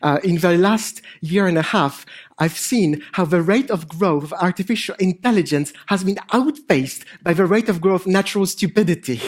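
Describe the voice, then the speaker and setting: Middle aged guy w french accent, slight polish tinge, voice a bit high, presenting on stage, hesitant n deadpan. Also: moderate pace. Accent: French with a hint of Polish. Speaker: conference speaker, AI researcher and improviser. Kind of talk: informal stage presentation with dry humour. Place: on stage at a conference.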